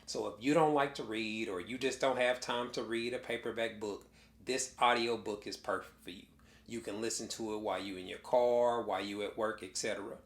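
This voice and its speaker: Calm male voice reading an ad. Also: fairly low pitch, echoey room. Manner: calm, measured promo delivery. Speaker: relationship coach and author. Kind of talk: scripted audiobook ad read.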